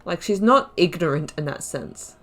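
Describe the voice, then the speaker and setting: A woman's voice: fairly low pitch, animated, moderate pace, a bit bright. A woman giving casual let's-play commentary, thinking aloud reflectively and reading out dialogue as her character. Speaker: gaming YouTuber.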